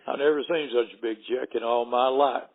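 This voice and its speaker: A man's voice: mid-range voice, steady tone, brisk, vocal fry. An older man from Alabama with a Southern accent, telling outdoor stories as a podcast guest in a folksy, chuckling way. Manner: folksy, chuckling between anecdotes. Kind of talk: casual storytelling chat. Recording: narrow phone-like podcast audio.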